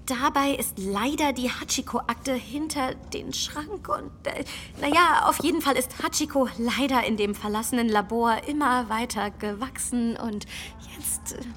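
An actress playing an earnest, evasive government aide with an official manner in a comic sci-fi radio play. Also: female voice, a little high, a little slow, fairly bright tone, a little hiss or hum. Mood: sheepish. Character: earnest, evasive female government aide, flustered when explaining.

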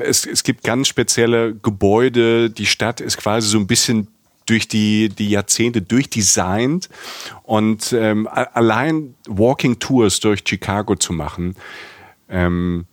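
A man's voice: fairly low pitch, no echo, studio quality. A man co-hosting a casual travel chat, talking enthusiastically and rambling with many restarts and fillers. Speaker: podcast co-host.

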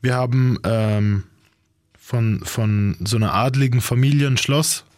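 Man, casual chat, rambling, slangy, middle pitch, dry close sound. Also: steady tone, measured pace, darkish tone, no room sound. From Germany. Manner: slangy, rambling, says "Bro" often. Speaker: rapper.

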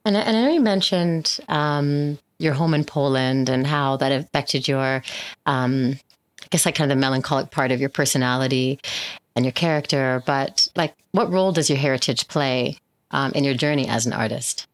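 A woman hosts the interview and asks thoughtful follow-up questions. Her voice sits very low for a woman. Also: normal speed.

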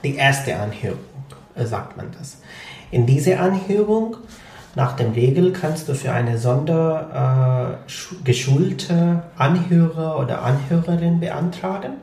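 Male interview guest, Punjabi, gravelly, hesitant, echoey room. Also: a little high, a little slow, darkish tone, a little hiss or hum. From Pakistan. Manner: hesitant, many fillers, explanatory. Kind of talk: conversational interview.

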